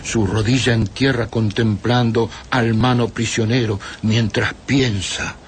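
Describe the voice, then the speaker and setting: An actor playing a solemn writer who narrates in the first person, measured and pausing, in a radio drama. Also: male voice, medium pitch, steady tone, lightly acted. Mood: solemn, pensive. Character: solemn male writer recording a time traveler's account. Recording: faint background noise.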